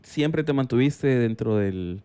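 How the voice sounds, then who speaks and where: A male podcast host with a mid-range voice. He leads the conversation with curious questions, often backing them with a quick "ujú" or "claro". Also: medium pitch, expressive, dark tone, smooth. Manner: curious, prompting with short acknowledgments. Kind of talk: casual interview.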